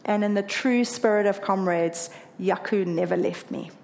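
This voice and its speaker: A woman's voice: mid-range voice, normal speed, gravelly. A woman from South Africa with a South African accent, earnestly telling a personal story about running a marathon. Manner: earnest, emotional, lively when quoting others.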